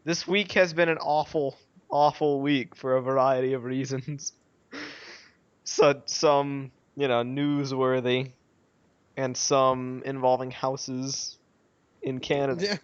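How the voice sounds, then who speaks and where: A man's voice: medium pitch, fairly even intonation, takes it slowly, clear, resonant tone, dry recording, dull audio. A man hosting a podcast in a casual group chat. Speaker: podcast host.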